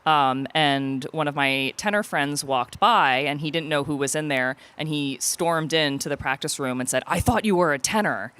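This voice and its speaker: Smooth, clear low-voiced woman opera singer. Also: moderate pace, hardly any pauses, a bit bright. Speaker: opera singer, interview guest. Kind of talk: casual interview answers, explaining.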